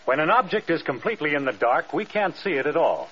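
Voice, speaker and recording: A male American announcer from old-time radio with a fairly high, animated voice. He reads scripted copy with polished emphasis. The broadcast is dull and a little noisy. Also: fast talker, slightly rough. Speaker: radio network promo announcer.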